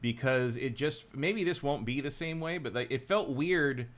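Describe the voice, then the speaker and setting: A man's voice: medium pitch, normal speed, fairly bright tone. A man co-hosting a casual game talk show, rambling with many restarts and fillers while giving blunt opinions. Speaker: podcast co-host. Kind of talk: casual chat about games.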